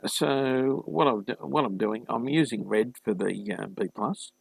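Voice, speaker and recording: A man giving a casual, unscripted hands-on repair walkthrough, thinking aloud haltingly and addressing viewers informally as a hobbyist host. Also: mid-range voice, creaky, slightly rough, no echo, rough recording. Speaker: hobbyist host of a vintage radio restoration series.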